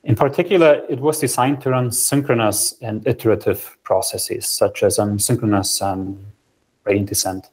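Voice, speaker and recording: A young man gives a technical talk from a small room over a webcam, his voice sitting fairly low. He explains in a steady, methodical way, dropping in ums as he goes. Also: normal speed, husky. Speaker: software engineer presenting a research platform. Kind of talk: technical conference talk over video call. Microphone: video call, webcam framing.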